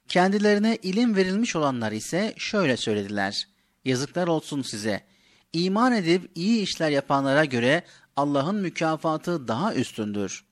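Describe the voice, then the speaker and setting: A man speaks in a high, very animated voice over music. He tells moral stories to children, addressing them directly and expressively as a teacher would. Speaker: host of a children's religious programme. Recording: music behind the voice. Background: background music.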